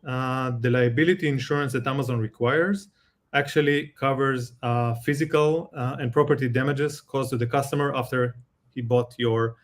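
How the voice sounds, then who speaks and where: A man's voice: medium pitch, steady tone, moderate pace. A man with an Israeli accent, a guest expert explaining things step by step in a live Q&A, with many fillers and restarts.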